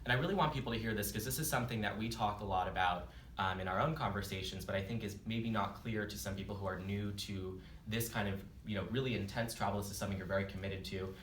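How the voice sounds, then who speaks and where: A man interviewing a guest, asking quick, rambling questions with many restarts. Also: on the low side, fairly bright tone, echoey room, far from the mic, a little hiss or hum. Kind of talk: casual interview.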